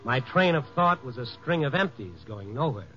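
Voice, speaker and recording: An actor playing a wry, world-weary newspaper reporter in a 1950s radio noir style, with wisecracking delivery. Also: male voice, mid-range voice, animated, normal speed, over loud music, natural voice. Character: wry, hard-boiled night-beat newspaper reporter. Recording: muffled vintage 1950s radio recording.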